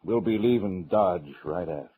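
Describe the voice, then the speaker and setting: An actor playing a stern, grieving middle-aged cattle rancher, somber and halting, in a 1950s western radio drama. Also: male voice, deep voice, expressive. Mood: weary, resolute. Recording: muffled narrow-band old radio recording.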